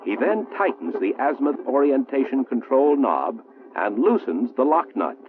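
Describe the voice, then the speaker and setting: An American man reading a 1960s military training film narration in a formal, measured, precisely enunciated manner. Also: medium pitch, big pitch swings, noisy background. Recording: muffled, roomy 1960s film soundtrack, phone-like.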